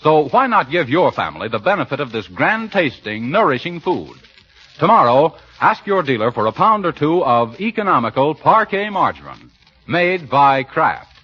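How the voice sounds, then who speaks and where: A man's voice: a little high, sing-song, normal speed, highs cut off. A man announcing for 1940s radio in a polished, earnest, scripted sales style. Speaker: radio announcer and sponsor spokesman. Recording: old 1940s radio broadcast, band-limited, slightly husky.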